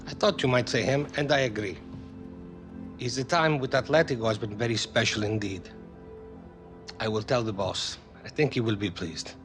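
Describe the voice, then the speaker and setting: An actor playing an earnest, stressed football club official in a video game, speaking formally and deliberately with non-native phrasing. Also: male voice, medium pitch, lightly acted. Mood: businesslike. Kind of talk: naturalistic video game cutscene acting. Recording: clear music behind the voice.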